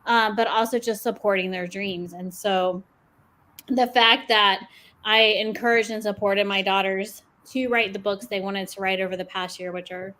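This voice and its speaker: A woman's voice: medium pitch, fairly even intonation, normal speed, a bit bright. A woman in her forties chatting on a panel over a video call, upbeat and laughing between thoughts. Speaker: panelist, podcast host. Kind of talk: casual panel chat.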